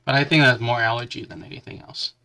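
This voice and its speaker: A man talks through a casual hobby livestream from his workbench, his voice bright and forward. He is still getting over a cold, with a lingering cough and a stuffy nose. His chat comes in halting bits, as his hands stay busy. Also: mid-range voice, fairly even intonation, gravelly. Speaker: livestream host building a model kit.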